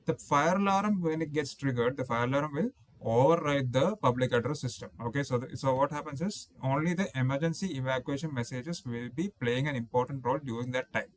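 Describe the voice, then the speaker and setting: A man giving an explanatory technical lecture as an instructor, going through points methodically and often rephrasing them. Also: a little high.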